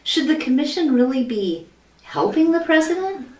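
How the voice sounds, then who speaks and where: High-voiced inquisitive young wife played by an actress, distant. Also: female voice, lively intonation, normal speed, darkish tone. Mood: curious, affectionate. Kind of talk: sitcom-style radio play dialogue. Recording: distant, roomy echo.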